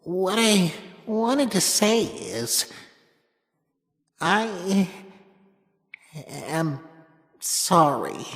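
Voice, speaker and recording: A woman voicing a nervous, apologetic little flower creature in an animated comic dub, stammering and hesitant. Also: on the low side, drawn-out words, pauses often, exaggerated voice. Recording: long reverb, music behind. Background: music.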